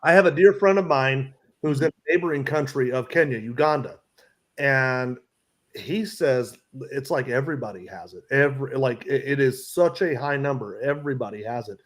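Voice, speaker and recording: A man's voice: mid-range voice, moderate pace. A man co-hosting a live stream over a video call, friendly and chatty, often restarting sentences. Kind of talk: casual live-stream chat.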